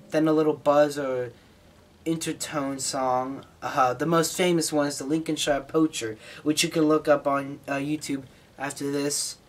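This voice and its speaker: A man's voice: a little high, fairly bright tone. A man giving a casual, unscripted monologue as a hobbyist host, informal and opinionated in his way of talking. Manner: informal, opinionated, mildly swearing. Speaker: shortwave radio hobbyist host.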